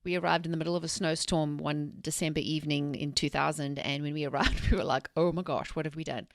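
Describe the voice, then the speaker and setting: A South African woman in her forties, a mindset coach telling her story in a lively, rambling interview. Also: low voice, quick pace, no room sound, studio quality. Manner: energetic, rambling with restarts and laughs.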